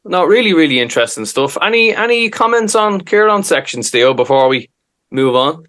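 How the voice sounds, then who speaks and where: A man with an Irish accent, co-hosting a podcast in a relaxed, easygoing way and moving the chat along. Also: on the high side, normal speed. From Ireland. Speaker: podcast co-host. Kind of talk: casual chat.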